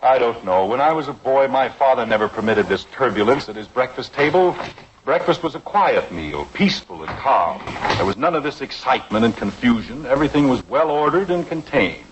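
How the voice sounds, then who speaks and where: A man's voice: mid-range voice, expressive, a little fast, close to an everyday voice. An actor playing a stern, exasperated middle-aged colonial father in a pompous, wordy 1950s radio comedy style. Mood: pompous reminiscing. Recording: muffled, noisy old radio recording.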